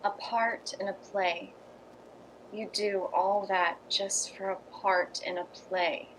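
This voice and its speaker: Woman as uneasy, loyal playwright's wife: genteel, unhurried, mid-pitched, radio-play delivery over faint rumble. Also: level tone, fairly bright tone, rough recording. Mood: guarded, dismayed. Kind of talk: recreated 1950s radio drama acting.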